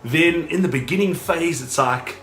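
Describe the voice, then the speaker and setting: A man's voice: fairly high pitch, moderate pace, a bit bright. A man chatting casually on a couple's vlog, blunt and crude, rambling as he makes his points. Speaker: couple vlogger. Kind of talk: casual chat. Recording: echoey room with background music.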